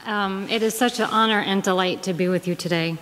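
A middle-aged woman, a guest minister, reading aloud to a congregation at a lectern, measured and deliberate, phrase by phrase. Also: mid-range voice, steady tone, fairly bright tone, slight background noise. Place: large wood-panelled church hall. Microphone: lectern gooseneck microphone. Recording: reverberant church hall.

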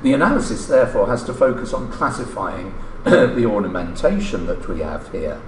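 A man reading a formal academic conference paper aloud in a measured, scholarly way, pausing mid-phrase. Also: lowish voice, lively intonation. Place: indoor lecture hall, at a lectern. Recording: echoey lecture room, distant mic, background noise.

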